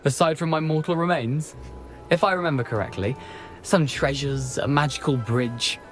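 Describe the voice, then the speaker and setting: A man's voice: fairly high pitch, normal speed, soft background music, natural voice. A man playing the wry, flippant ghost of a schoolboy adventurer in a video game, speaking in wordy, theatrical phrases. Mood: wry, playful.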